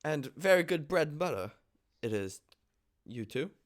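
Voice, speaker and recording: A man reading the part of an earnest, easily exasperated upper-class gentleman in love, in a theatrical, lofty manner for a stage comedy. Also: on the high side, big pitch swings, normal speed.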